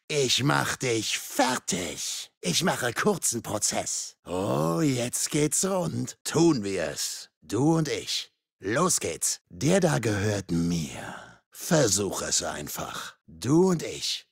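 A man voicing a taunting, sarcastic masked killer in a video game, with a husky, gravelly voice and a mocking, menacing manner. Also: medium pitch, no room sound, studio quality, full character voice. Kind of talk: game combat voice lines in German dub.

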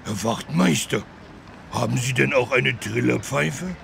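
A man voicing a big, good-natured, curious talking elephant in a German children's cartoon game, gravelly and drawn-out. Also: mid-range voice, fairly bright tone, slight background noise. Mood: curious, polite.

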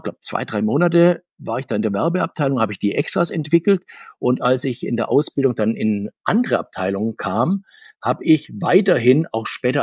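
A man's voice: mid-range voice, a little mellow, breathy edge, dry recording, clean studio sound. A middle-aged man telling anecdotes as an interview guest, chatty and digressive, often restarting his sentences. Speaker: podcast guest, comics author.

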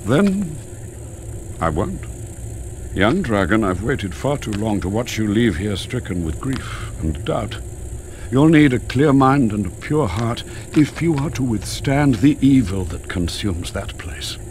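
An older man voicing an ancient, wise dragon keeper of books in a video game, speaking gravely and theatrically with drawn-out pauses. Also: lowish voice, very animated, a little hiss or hum. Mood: solemn, resigned. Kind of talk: video game cutscene acting, solemn storytelling. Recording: loud music behind the voice. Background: loud cinematic game music.

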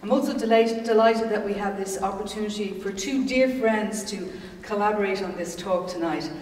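A woman's voice: medium pitch, fairly even intonation, moderate pace, a little mellow. A woman in her sixties, hosting at a gallery, reads a formal introduction from notes and runs through long lists of names and titles. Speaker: gallery host. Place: gallery room, standing. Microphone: far camera mic. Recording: echoey gallery room from far off.

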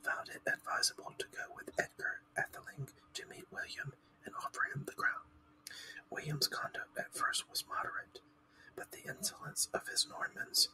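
A man's voice: normal speed, bright, forceful tone, poor audio. Man whispering as a pompous storybook mouse. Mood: dry lecturing. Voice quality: breathy whisper. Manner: pompous, formal. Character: self-important, easily irritated mouse lecturer.

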